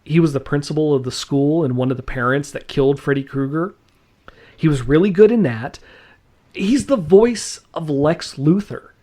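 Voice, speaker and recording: A man's voice: a little high, normal speed, mellow. A man hosting a podcast in casual chat, enthusiastic and rambling, laughing often. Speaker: podcast host.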